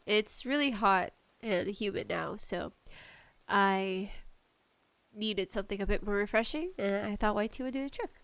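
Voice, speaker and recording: A woman chatting casually as a solo podcast host, nervous, halting and apologetic, with allergies bothering her. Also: medium pitch, a bit bright, clear, resonant tone, no echo. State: allergies acting up. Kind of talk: casual solo podcast chat about games and tea.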